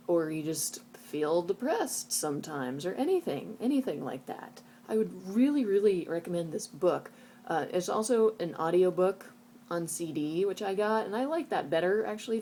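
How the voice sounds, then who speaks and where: A woman's voice: on the low side, warm tone, slight background noise. A woman talking casually and reflectively in a personal vlog, candid and introspective, often qualifying what she says. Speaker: video blogger.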